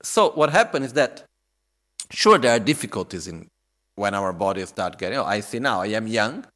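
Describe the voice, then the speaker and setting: A man's voice: lowish voice, very animated, normal speed, a bit bright. A man in his thirties giving a Buddhist dharma talk in non-native English, reflective and often checking in with "okay?". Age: 30s. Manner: reflective, repeats questions to the audience with "okay?" and "no?". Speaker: Buddhist teacher.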